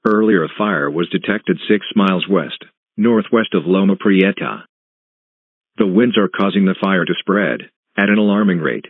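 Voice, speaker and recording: A man reading a scripted emergency alert as an announcer, in a flat, measured, synthetic-sounding delivery with clipped phrases. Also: low voice, slightly rough, dry recording. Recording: muffled, narrow phone-like broadcast sound.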